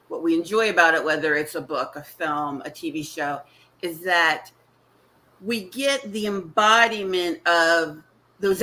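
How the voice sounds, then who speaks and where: A woman's voice: lowish voice, pushed and bright. A woman horror author on a panel, talking articulately with wry asides. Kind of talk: casual panel discussion.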